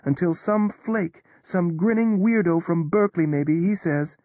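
A woman's voice: lowish voice, measured pace, no echo. A woman brings to life a cynical, world-weary Texan whose drawl stretches every word. Her tone stays level and sardonic, never rising to alarm. The sound is close and dry, with no room around it. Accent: Texas. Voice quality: drawling, flat neutral tone. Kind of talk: audio drama performance.

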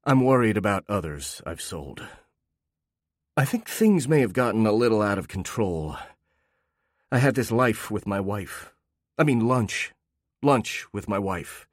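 A man voicing an uneasy adult man who confesses in halting, stumbling phrases. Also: on the low side, very animated, dry recording, clean studio sound.

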